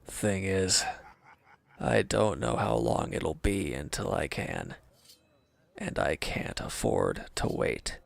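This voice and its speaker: A man playing a wounded, defeated villain's henchman in an intimate audio roleplay, bitter, defensive and despondent, halting and sometimes dropping to a near-whisper. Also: low voice, big pitch swings, gravelly, dry recording, heavily acted. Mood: despondent, weary.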